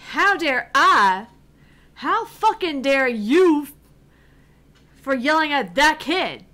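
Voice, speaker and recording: Casting: fiery young gamer heroine, played by a woman, bright forceful voice, highish, theatrical and righteous, visual novel game. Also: big pitch swings, slow pace, full character voice. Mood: furious, indignant. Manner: theatrical, indignant, foul-mouthed. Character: brash, fiery young female pro gamer, theatrical champion of justice.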